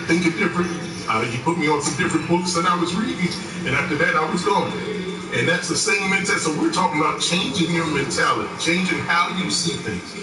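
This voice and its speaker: Animated man telling a story, echoey room. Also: fairly high pitch. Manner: animated, storytelling with quoted dialogue. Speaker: co-host. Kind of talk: casual podcast chat. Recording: echoey, distant mic with music behind.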